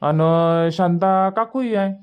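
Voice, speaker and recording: A man's voice: sits high, takes it slowly, smooth, dull audio. A man voicing a friendly village brother in a cartoon phone chat, explaining things in colloquial Varhadi Marathi. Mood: friendly, informative. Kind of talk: cartoon comedy dubbing, casual phone chat.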